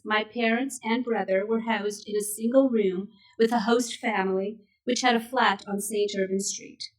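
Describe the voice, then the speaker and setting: Woman, 60s, from Canada, native Yiddish speaker, reading a prepared speech, measured and steady, recorded from afar. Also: a little high. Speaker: speaker at a memorial event. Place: synagogue event hall. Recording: voice picked up from a distance.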